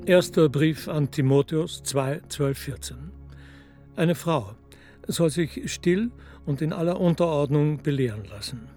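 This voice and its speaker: A stern reader of scripture played by a man recites solemnly in a dark, mellow tone. The voice sits a little high, with faint music beneath, like a radio play laying down the law. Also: a little slow, close to an everyday voice.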